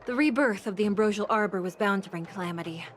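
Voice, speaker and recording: A woman's voice: mid-range voice, fast, soft background music, close to an everyday voice. A woman voicing a solemn female judge in a fantasy video game, with a formal, grave manner.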